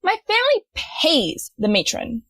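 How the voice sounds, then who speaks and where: A woman's voice: high-pitched, huge pitch swings, unhurried, a bit bright, no room sound, exaggerated voice. A woman playing a haughty, dry-witted rich young student who scolds sardonically in an audio drama. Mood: dry, smug.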